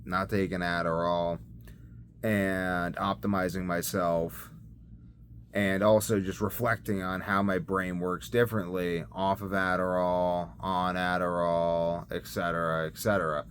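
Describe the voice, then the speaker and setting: A man's voice: deep voice, little pitch movement, a little slow, fairly bright tone. A man rambling casually through a personal video diary, hesitant and thinking aloud as he goes. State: sleepy. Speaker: vlogger. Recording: clear background noise.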